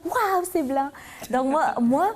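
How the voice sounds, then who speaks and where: A sixteen-year-old girl from the Philippines telling a story in an animated, rambling way. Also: high-pitched, takes it slowly. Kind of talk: casual testimony.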